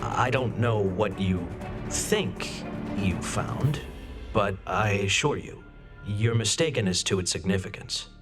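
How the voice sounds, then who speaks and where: An actor playing an arrogant, shady senator in a video game, haughty and measured with a scornful temper. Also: male voice, fairly low pitch, lively intonation. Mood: cornered, guarded. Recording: loud music over the voice.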